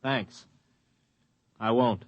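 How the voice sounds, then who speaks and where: An actor playing a weary, blunt veteran flight officer, plain-spoken and terse, in 1950s radio drama style. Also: male voice, medium pitch, fairly even intonation, extremely slow, no room sound, dull audio. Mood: quiet, resolved. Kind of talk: 1950s radio drama acting with first-person narration.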